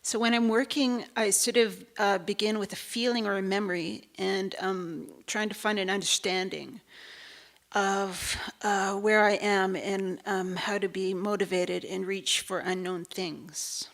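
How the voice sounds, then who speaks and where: A woman's voice: medium pitch, steady tone, a little slow, vocal fry, fairly bright tone. A woman from Ontario with a Canadian accent giving an informal artist talk, hesitant and searching for her words.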